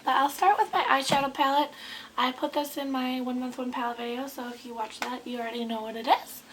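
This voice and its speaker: Young woman vlogging while sick, hoarse and squeaky, high voice, bit of faint noise behind. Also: steady tone, fairly quick, fairly bright tone, rough recording. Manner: rambling, chatty, many ums and likes. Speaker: beauty vlogger. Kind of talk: casual chat to camera.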